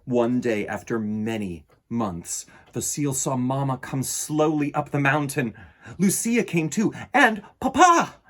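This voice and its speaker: A middle-aged man with a husky voice, hosting a children's storytime in an expressive, theatrical way. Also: mid-range voice, measured pace. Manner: theatrical, varied delivery addressed to young listeners. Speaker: children's storytime host and reader. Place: indoor room lined with bookshelves.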